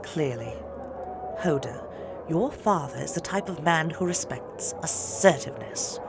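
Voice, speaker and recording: A regal mother queen in a mythic audio drama. Her voice is on the low side and moves expressively, formal and shrewd, coaxing as she speaks. Music runs behind her. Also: animated, a little slow, gravelly, background noise, heavily acted. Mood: tender, coaxing.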